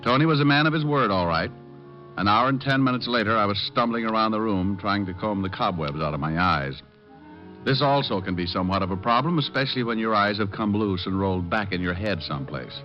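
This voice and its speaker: A man's voice: lowish voice, lively intonation, a little fast, close to an everyday voice. A man playing a cocky, sardonic private detective who narrates and trades dry wisecracks in an old-time radio drama. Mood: wry narration. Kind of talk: 1940s-50s radio detective acting and narration. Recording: dull old-time radio recording, music.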